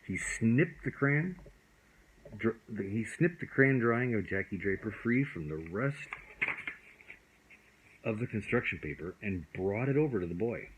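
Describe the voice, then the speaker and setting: A man's voice: fairly low pitch, expressive, a little slow, pauses often, slight background noise, rough recording. A man reading a bedtime story aloud as storyteller, expressively and with the odd stumble over words.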